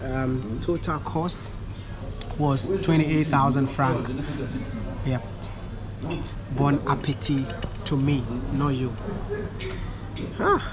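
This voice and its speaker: A man's voice: medium pitch, little pitch movement, slow, pauses often, a loud crowd. A man vlogging to camera while traveling, chatty and upbeat, talking from an airport and plane on a handheld camera. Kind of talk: vlog monologue to camera. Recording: background noise of airport.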